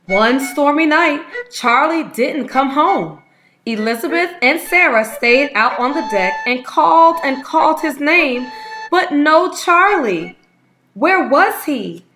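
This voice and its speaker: A woman reading a children's story aloud as a read-aloud host, with expressive, unhurried storytelling. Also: sits high. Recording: music playing behind the voice. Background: instrumental music.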